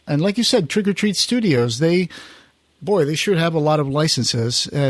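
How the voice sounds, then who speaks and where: A man with a slightly high voice talks as a podcast host in a casual, informative way. The sound is very dry and close, with no room echo. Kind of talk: casual podcast chat about horror collectibles.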